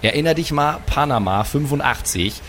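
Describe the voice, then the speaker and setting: A man improvising as an old sailor in a tabletop game, gruff and chummy, sometimes adding what his character does. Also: medium pitch, lively intonation, moderate pace, fairly bright tone, a little hiss or hum. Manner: gruff, chummy, sailor talk with stage directions. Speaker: player voicing an old sailor.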